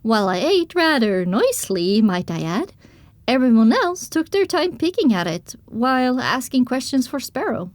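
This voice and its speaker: A woman's voice: high voice, very animated, normal speed, no echo. A woman reading first-person dramatic story narration for an audio drama.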